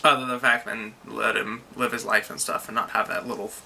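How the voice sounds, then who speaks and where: Man, creaky bright voice, casual film discussion, conversational co-host, faint music. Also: fairly low pitch, steady tone, a little fast, a little hiss or hum. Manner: informal, conversational. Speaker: movie review co-host.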